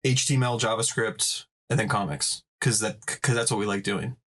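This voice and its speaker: A man with a stutter talks in a casual podcast chat. His speech stops and restarts often, and his jokes come out dry and deadpan. He sounds like he is on a home mic. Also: fairly low pitch, normal speed, a little hiss or hum. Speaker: podcast guest.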